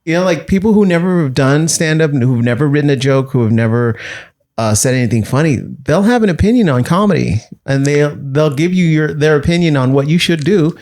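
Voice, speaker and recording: Easygoing man with a higher voice. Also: lively intonation, a little fast, darkish tone. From Los Angeles. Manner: easygoing, laughs often, hesitant fillers. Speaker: comedian guest. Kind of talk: casual podcast chat.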